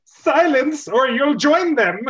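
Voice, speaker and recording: Man putting on a loud, high, barking militia captain. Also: very animated, slow pace, highs cut off, a little hiss or hum, full character voice. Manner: loud, declamatory. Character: barking, pompous male reptilian militia captain. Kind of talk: amateur script read-through.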